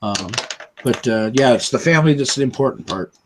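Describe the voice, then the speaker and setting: A man's voice: mid-range voice, lively intonation, fairly quick, noisy background. A man with a chest cold co-hosting a live stream over a video call, chatty and upbeat with lots of fillers. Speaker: co-host. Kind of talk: casual live-stream chat.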